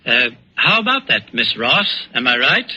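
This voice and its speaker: An actor playing a composed British intelligence officer, calm and dryly ironic, in a 1940s radio suspense drama. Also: male voice, mid-range voice, unhurried, breathy edge, heavily acted. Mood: wry, explaining. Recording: muffled old radio, faint music.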